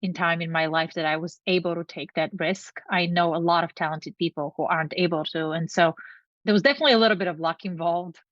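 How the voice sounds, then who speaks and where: A woman's voice: on the low side, fairly even intonation, quick pace, no echo. Earnest Bulgarian-born woman on a dull, dry line. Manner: earnest, reflective, speaks in long lists. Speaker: guest, company founder. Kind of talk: interview conversation.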